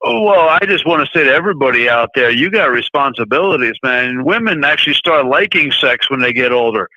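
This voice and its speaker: A man's voice: medium pitch, expressive, a little fast, bright, forceful tone, no echo. A man in his forties calling into a live show, joking around and speaking bluntly. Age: 40s. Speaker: caller. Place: calling in from abroad. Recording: dull phone call line.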